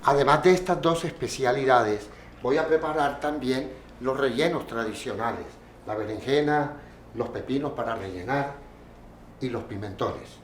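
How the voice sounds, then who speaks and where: A man hosting a cooking program, narrating in a calm, reflective, poetic way, from Colombia. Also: mid-range voice, moderate pace, a little hiss or hum. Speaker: host and cook. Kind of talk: scripted narration over a cooking show.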